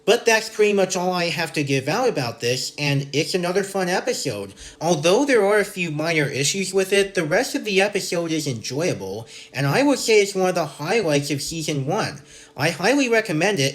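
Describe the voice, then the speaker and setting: A Canadian man with a general North American accent, hosting a show and reading a scripted episode review in a measured, list-like way. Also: fairly high pitch, big pitch swings. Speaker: podcast host and episode reviewer.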